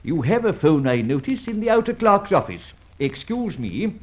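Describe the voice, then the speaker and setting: An actor playing a suave, mocking gentleman adventurer in a vintage radio drama, urbane and ironically polite. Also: on the high side, big pitch swings, moderate pace, dull audio. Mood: suave, teasing. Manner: urbane, ironically polite, hesitating with drawled uhs.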